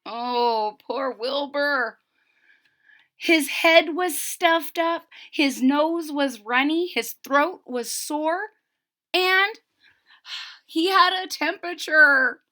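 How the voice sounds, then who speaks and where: A woman storyteller reading a picture book to children, deliberate and expressive, with simple explanations. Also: high-pitched. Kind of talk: expressive read-aloud with simple recaps.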